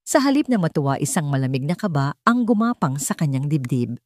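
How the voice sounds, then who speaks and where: A woman with a Filipino accent narrating a scripted dramatic story in a measured storytelling delivery. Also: low voice, big pitch swings, darkish tone, no echo, clean studio sound.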